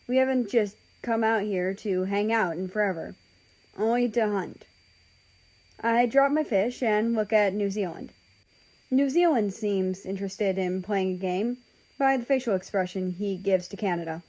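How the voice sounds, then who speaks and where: Woman, story reader, scripted first-person narration, unhurried pace, medium pitch. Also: a little mellow, low-quality recording. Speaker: fan fiction reader.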